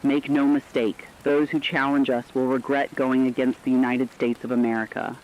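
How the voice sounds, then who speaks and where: A man playing a grave radio correspondent, reading war news in a solemn, forceful, declamatory scripted style. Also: mid-range voice, flat delivery, moderate pace, lightly acted, rough recording. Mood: defiant, resolute. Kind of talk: scripted dramatic news broadcast.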